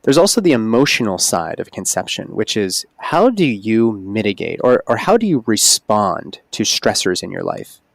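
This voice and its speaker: A man's voice: on the low side, moderate pace, gravelly, hardly any pauses, no echo. A man speaking as a holistic OB-GYN guest on a podcast, explaining earnestly in casual conversation. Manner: earnest, explanatory, animated emphasis.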